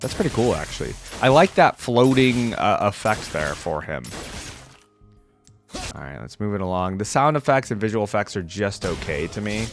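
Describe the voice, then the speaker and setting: A man with a lowish voice that moves up and down in a lively way. He comments casually as a reaction video host, thinking aloud in slang, with music playing behind him. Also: moderate pace. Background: music and video game sounds.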